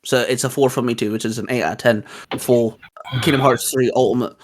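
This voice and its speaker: A man's voice: mid-range voice, steady tone, fairly quick, over loud music. A man co-hosting a casual, geeky chat, talking in a rambling, enthusiastic and hesitant way. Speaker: host. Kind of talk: casual geeky chat rating video game forms.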